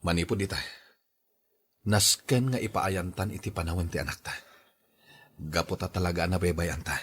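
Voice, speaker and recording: An earnest, caring young Filipino father, acted by a man in a radio drama. His voice sits low with a rough husk to it, every line that of a working husband devoted to his family. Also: moderate pace. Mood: regretful, blaming.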